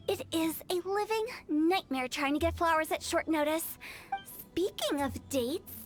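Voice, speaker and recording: An actress voicing a playful, mischievous young woman who runs a funeral parlor, teasing and darkly cheerful in a video game. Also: very high-pitched.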